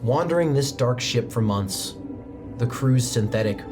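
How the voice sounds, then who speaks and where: A man's voice: mid-range voice, lively intonation, unhurried. A man narrating a tabletop game as game master, describing scenes steadily with the odd filler. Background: music.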